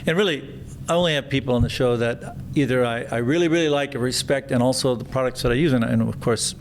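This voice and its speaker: Gravelly-voiced man hosting enthusiastically. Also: medium pitch, slight background noise. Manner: enthusiastic, chatty, halting restarts. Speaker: podcast host, plastic surgeon. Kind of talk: podcast interview.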